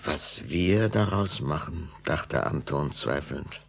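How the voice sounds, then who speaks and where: A man narrating a German children's audio drama as an expressive storyteller. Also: extremely low pitch, slow pace, gravelly, husky, faint music behind.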